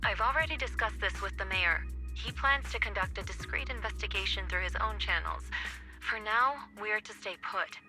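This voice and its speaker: A woman playing a stern, authoritative female master in a video game, speaking in a firm, measured, commanding way. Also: mid-range voice, bright, forceful tone. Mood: grave, measured. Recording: background music under the voice.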